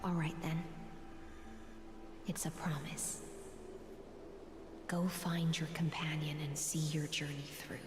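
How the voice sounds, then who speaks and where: Low, regal woman of the ocean. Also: level tone. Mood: fond. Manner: stately, poetic, unhurried. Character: regal, fond ocean songstress, calmly protective. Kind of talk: dramatic video game voice acting. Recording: echoey long reverb, music behind.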